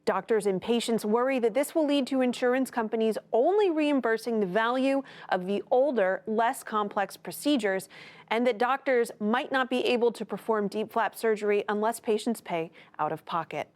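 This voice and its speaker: A woman's voice: on the high side, darkish tone. Woman reading a news report. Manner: measured, clear newsreader delivery. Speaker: news correspondent and interviewer. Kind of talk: scripted news report and interview.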